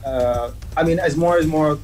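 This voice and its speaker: A man who grew up in Dubai, a DJ talking casually as a guest, pausing often with fillers and restarts. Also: a little high, darkish tone, soft background music. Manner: hesitant, many fillers and restarts. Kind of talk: casual interview chat.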